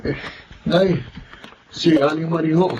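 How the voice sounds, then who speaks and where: An actor with a Filipino accent playing an earnest, respectful young student son in a radio drama. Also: male voice, on the high side, steady tone, slow, noisy background. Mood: earnest, resigned. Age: young adult. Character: earnest, hardworking young student son, dutiful but determined.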